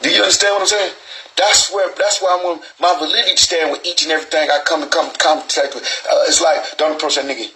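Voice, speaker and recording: Forties male host, slightly higher pitch, rambling streetwise sermon-style talk from a small booth. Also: fairly even intonation, quick pace, sharp tone. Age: 40s. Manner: rambling, profane, preachy with restarts and stutters. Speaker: show host. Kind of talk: freewheeling street monologue.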